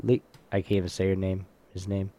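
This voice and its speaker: A man's voice: deep voice, little pitch movement, a little fast, warm tone. A man casually hosting a trivia game on a live show, speaking informally and hesitantly as he chats and reads out facts. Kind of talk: casual chat, reading trivia aloud.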